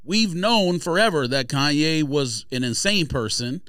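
A man's voice: fairly high pitch, expressive, measured pace, fairly bright tone, clear, resonant tone, no echo, studio quality. A man debating casually as a podcast host, argumentative and blunt, with emphatic delivery.

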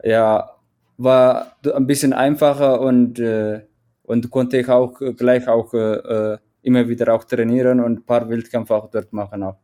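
A man's voice: mid-range voice, flat delivery, moderate pace, mellow. A man from Eritrea with an Eritrean accent, a runner interviewed in a casual chat, speaking hesitantly with many restarts as he searches for words. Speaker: guest, mountain runner.

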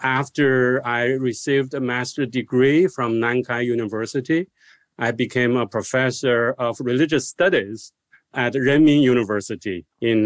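A man in his sixties from China, a scholar of religion, giving measured, explanatory answers in an interview. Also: medium pitch, no echo.

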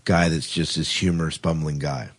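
A man's voice: rumbling low, steady tone, normal speed. A man hosting a casual podcast chat about television, informal and enthusiastic in the way he talks. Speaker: podcast host.

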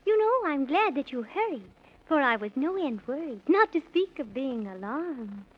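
A gentle, motherly rose speaks in a high, lilting voice with lively pitch. She is friendly but fretful, talking in rhyme on a muffled vintage children's radio recording. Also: normal speed. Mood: relieved, anxious. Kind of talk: 1930s children's radio acting.

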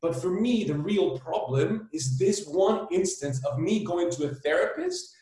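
A man's voice: high-pitched, animated, dark tone. A man in his thirties, originally from El Salvador, speaking candidly as an interview guest, with many fillers and restarts. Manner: candid, fast-paced, hesitant restarts and fillers. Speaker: founder, guest. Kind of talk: casual interview talk. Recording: very echoey room.

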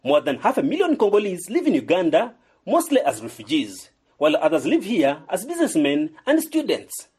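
A man's voice: high voice, wildly swooping pitch, brisk, a little mellow, slight background noise. A man reporting a scripted radio sports feature, narrating between interviews.

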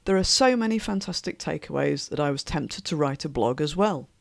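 A woman hosting a podcast, reading a scripted intro with an upbeat, measured delivery, then opening an interview. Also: deep voice, lively intonation, vocal fry, dry recording, studio quality. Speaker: podcast host.

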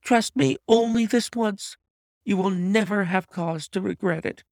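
A man's voice: sits high, unhurried, vocal fry, darkish tone, no echo, studio quality, voice pushed up. A man voicing an anxious, secretive wife who pleads desperately and emphatically in an audiobook mystery. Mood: desperate pleading. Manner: imploring, emphatic, breathless entreaty. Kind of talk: dramatized audiobook reading of a classic mystery story.

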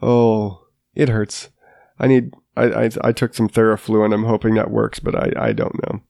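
A man's voice: fairly low pitch, little pitch movement, moderate pace, vocal fry, mellow, no echo, studio quality. A man in his thirties hosting his own podcast while stuffed up with a sinus infection, talking in a rambling, self-deprecating way with many restarts. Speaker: podcast host. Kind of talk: casual solo podcast monologue.

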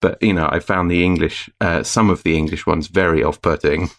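Low-voiced podcast dude, opinionated and rambly. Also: male voice, steady tone, brisk, fairly bright tone. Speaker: podcast co-host. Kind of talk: casual game discussion.

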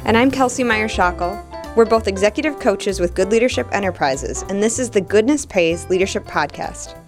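A woman's voice: medium pitch, lively intonation, moderate pace, music in the background. A woman co-hosting a leadership podcast as an executive coach, upbeat and enthusiastic. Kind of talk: podcast chat.

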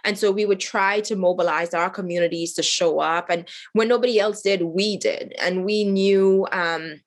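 A woman's voice: mid-range voice, steady tone, moderate pace. A middle-aged woman from Haiti, a nonprofit cofounder, telling her story in an interview in an earnest, reflective way with many fillers and restarts.

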